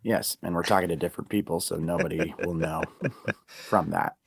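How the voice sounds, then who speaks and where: A man co-hosting a casual podcast while sick with a cold, his voice froggy and low-key. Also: normal speed, creaky, breathy edge, dry recording. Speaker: podcast co-host. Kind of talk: casual chat.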